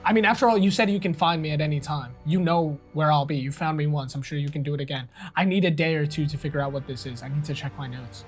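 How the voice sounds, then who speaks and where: A man's voice: a little high, fast, hardly any pauses, faint music behind, natural voice. A man playing a crafty deceiver in a tabletop game, speaking insistently and persuasively as he bargains. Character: crafty male deceiver bargaining earnestly, secretly scheming.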